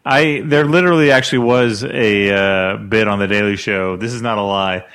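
A man guesting on a podcast, self-deprecating and hesitant, often clearing his throat and pausing. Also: fairly low pitch, a bit bright. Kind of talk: casual chat.

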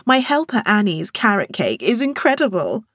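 A woman's voice: mid-range voice, huge pitch swings, a bit bright, no echo, clean studio sound, voice pushed up. A woman voicing a mild, easygoing woman who muses among her friends in an audiobook. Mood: pleased. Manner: unhurried remarks to no one in particular.

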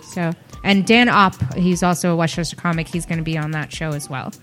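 A woman's voice: on the low side, steady tone, a little fast, fairly bright tone, soft background music. Frank, chatty woman comedian. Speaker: comedian and show producer. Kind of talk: casual podcast chat.

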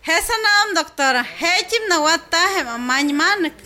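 A woman's voice: extremely high pitch, lively intonation, unhurried, bright, forceful tone, heavily acted. A woman playing a new mother who puts questions to her doctor in a health radio drama. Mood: inquiring.

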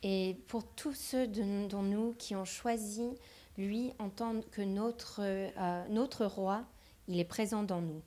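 Woman translating a sermon live, slow, stops and restarts a bit. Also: medium pitch, fairly even intonation, mellow. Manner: translating phrase by phrase with hesitations. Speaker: interpreter.

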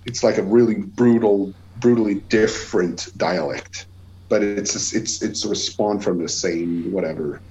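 A man's voice: lowish voice, a little slow, mellow, slight background noise. A Swedish man in his forties with a Swedish accent, a guest musician talking casually, rambling and restarting his sentences. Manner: rambling, many restarts and repeated words. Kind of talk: casual conversation. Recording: lo-fi dull sound.

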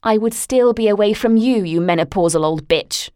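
A woman's voice: medium pitch, big pitch swings, moderate pace, vocal fry, no room sound, clean studio sound, pitched above the performer's own voice. A woman voicing a furious young woman storming out of home, defiant. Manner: defiant and heated.

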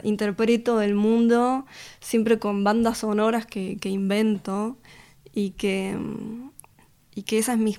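A woman, a musician and record producer, talking through her work in an interview with a thoughtful, halting manner, full of fillers and restarts. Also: mid-range voice, darkish tone. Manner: thoughtful, hesitant, many fillers and restarts, laughs often. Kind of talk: casual interview conversation.

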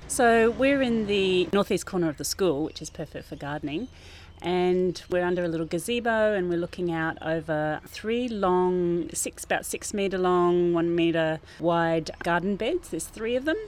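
A woman's voice: lowish voice, moderate pace, hardly any pauses, darkish tone. A woman who works as a garden teacher, explaining thoughtfully and earnestly in an informal interview outdoors. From New Zealand. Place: outdoors, under a gazebo in a school garden.

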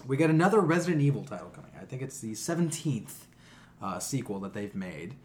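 A man hosts a casual podcast with very lively, swooping intonation. He talks bluntly and swears freely, leaning hard on his opinions in a mid-pitched voice. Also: brisk, slight background noise. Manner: profane, blunt, emphatic. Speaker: podcast host. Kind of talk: casual opinionated podcast chat about games.

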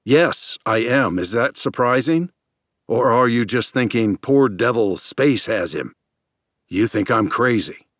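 A man's voice: mid-range voice, lively intonation, takes it slowly, a bit bright, dry recording, studio quality. A man voicing an agitated, suspicious space scientist in an audiobook, insistent and accusatory, verging on hysteria.

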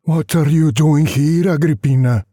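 A man playing a weary, bewildered husband and father in a story, questioning his wife in a husky, tired voice. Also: a little high, a little slow, dark tone, no room sound, clean studio sound, pitched above the performer's own voice. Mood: bewildered, urgent.

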